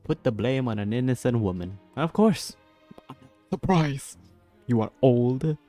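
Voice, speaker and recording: A man with a medium-pitched voice comments casually while playing a game. He interjects in short, sassy exclamations, with game music faintly behind him. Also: lively intonation, unhurried, dark tone. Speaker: gamer reacting to a game playthrough. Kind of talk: casual live reaction commentary.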